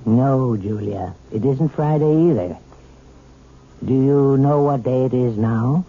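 An actor playing a calm male hypnotist who talks in a soft, measured, persuasive way, in a 1970s radio drama. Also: medium pitch, breathy edge. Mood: coaxing. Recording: tinny old radio broadcast with music.

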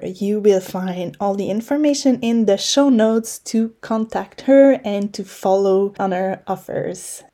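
A woman hosting a podcast, gently and enthusiastically reading out her guest's introduction with slightly non-native phrasing. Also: mid-range voice. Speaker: podcast host.

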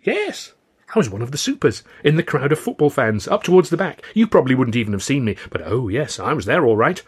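A man's voice: mid-range voice, big pitch swings, a little fast, breathy edge, voice pushed up. A man voicing an eager, naive young comic in an audiobook, full of polite, gushing, old-fashioned exclamations. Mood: excited, amazed. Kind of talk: audiobook character voice.

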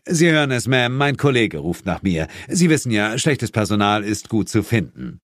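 A cocky male monster hunter, voiced by a man reading in a horror-comedy audiobook. He sounds smug and sarcastic, with animated intonation in a dry studio. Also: lowish voice, normal speed, no echo. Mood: falsely soothing.

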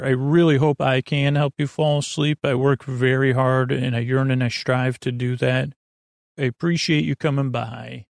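Creaky-voiced man rambling through a bedtime podcast. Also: medium pitch, darkish tone, no echo, studio quality. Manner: meandering, hesitant, repeats and trails off mid-thought. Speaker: podcast host. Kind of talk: rambling, informal sleep podcast monologue.

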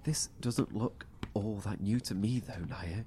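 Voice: medium pitch, unhurried, dark tone, slight background noise, lightly acted. An actor playing a defiant, sardonic survivor in a horror audio drama, with a sceptical, bitter, mocking way of talking. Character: defiant, sardonic survivor challenging a cult leader.

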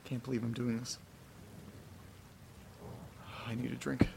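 Man acting a desperate, slow-talking trapped man, horror drama. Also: mid-range voice, flat delivery, vocal fry, background noise. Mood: wry, nervous. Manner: muttering to himself, halting, wry, sweary. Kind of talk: horror audio drama, confessional monologue.

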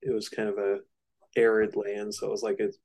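A man's voice: on the low side, monotone, a little fast, darkish tone. A man co-hosting a casual album discussion, explaining things in a loose, slightly hesitant way. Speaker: podcast co-host.

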